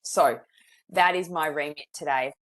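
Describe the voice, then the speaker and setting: A woman's voice: low voice, a little slow, fairly bright tone. A woman with an Australian accent, a psychologist in her thirties, leading a webinar in a friendly, chatty way with many fillers. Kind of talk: webinar presentation. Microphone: video call.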